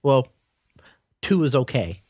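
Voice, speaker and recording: A man's voice: medium pitch, big pitch swings, slow, a little mellow, breathy edge, no room sound. A young man co-hosting a casual video game podcast, blunt and opinionated and prone to rambling. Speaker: podcast co-host. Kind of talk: casual chat about video games.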